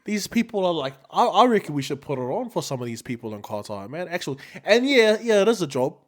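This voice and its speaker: A man's voice: fairly high pitch, big pitch swings, brisk. A man with a New Zealand accent co-hosting a casual podcast, talking in a slangy, laid-back way and often repeating himself. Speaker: podcast co-host.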